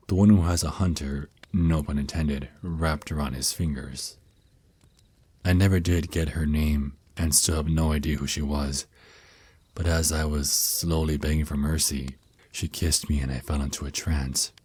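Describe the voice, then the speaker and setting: Man playing a very deep, husky vampire naga boyfriend. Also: a little mellow, exaggerated voice. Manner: slow, soothing, teasing endearments. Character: centuries-old male vampire naga boyfriend, tender mentor. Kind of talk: ASMR roleplay, intimate monologue.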